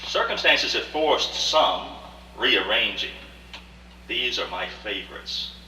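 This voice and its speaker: A man's voice: fairly low pitch, animated, slow pace, over music. A man in a radio play rehearsal, playing a proud, cultured older art collector with formal, theatrical, articulate speech. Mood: refined pride. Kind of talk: live radio play acting. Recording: roomy, distant, faint hum, old rehearsal tape.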